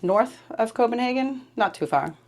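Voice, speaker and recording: A middle-aged woman from Denmark, a guest in a conversation, laughing easily and pausing a little as she talks. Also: a little high, expressive, background noise. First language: Danish. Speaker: podcast guest, preschool director. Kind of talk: casual conversational interview.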